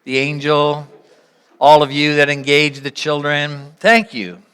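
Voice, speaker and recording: A woman speaking earnestly and in measured phrases as she leads a church service, pausing and posing reflective questions to the congregation. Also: deep voice, a bit bright, smooth. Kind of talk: sermon, reflecting on a parable and addressing the congregation.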